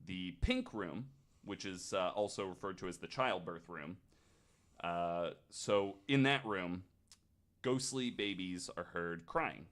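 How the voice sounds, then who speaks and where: A man from the United States hosting a podcast, telling stories in a casual, halting, conversational way. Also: deep voice, a bit bright. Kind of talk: casual storytelling chat.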